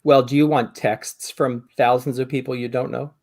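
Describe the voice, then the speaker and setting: An American man in his fifties chatting on a podcast over a video call, reflective and wry, pausing as he works through his thoughts. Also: medium pitch, flat delivery, a little mellow. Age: 50s. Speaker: podcast co-host or guest. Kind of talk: conversational podcast discussion. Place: indoor room on a video call.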